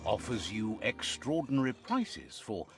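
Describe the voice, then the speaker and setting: A wise, lilting storybook narrator. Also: male voice, fairly low pitch, very animated. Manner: measured, storytelling delivery. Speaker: game narrator. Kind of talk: scripted game narration. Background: game music.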